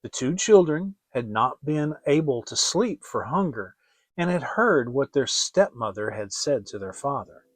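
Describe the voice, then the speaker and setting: A man narrating a fairy tale as a dramatic reading, in a theatrical, deliberate storytelling manner. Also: mid-range voice, lively intonation, dry recording. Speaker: audiobook reader.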